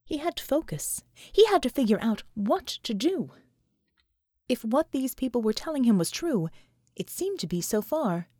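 Animated, high-voiced woman narrating fiction. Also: normal speed, no echo, studio quality. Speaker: audiobook narrator. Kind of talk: dramatic scripted fiction narration.

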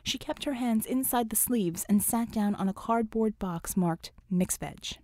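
Lady reading a novel aloud, audiobook narrator, highish pitch, totally dry recording. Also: unhurried, mellow, no room sound. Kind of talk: scripted fiction narration.

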